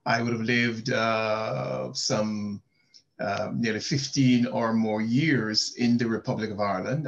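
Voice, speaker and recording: Gravelly low-voiced minister on lo-fi audio. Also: male voice. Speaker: Moravian minister. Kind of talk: panel self-introduction. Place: home study with bookshelves, indoors. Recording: dull lo-fi audio.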